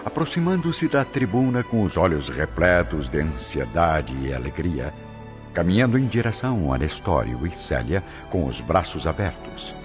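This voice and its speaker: A man's voice: deep voice, very animated, moderate pace, music in the background. A man narrating a radio drama from a script in a solemn, theatrical way. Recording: dull lo-fi recording. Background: loud musical score.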